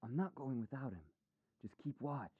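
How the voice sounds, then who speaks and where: A man playing an earnest, loyal young man in an animated adventure. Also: mid-range voice, normal speed, slightly rough, narrow, tinny audio. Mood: desperate.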